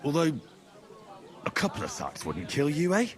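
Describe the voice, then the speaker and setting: An actor playing a patient, practical young commoner in a video game, down-to-earth and a little wry. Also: male voice, fairly high pitch, huge pitch swings, moderate pace, some chatter behind. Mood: reasonable. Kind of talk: dramatic video game dialogue.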